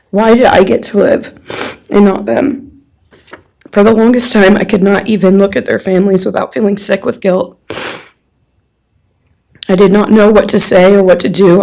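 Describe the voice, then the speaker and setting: Young woman, mid-pitched with noticeable vocal fry. Reads a written personal statement to a courtroom. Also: dark tone, rough recording. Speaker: friend reading a victim's statement on their behalf.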